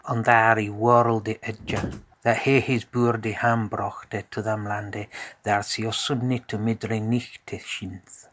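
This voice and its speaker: A man narrating a scripted tale as a storyteller in a measured, deliberate way. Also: lowish voice, a bit bright.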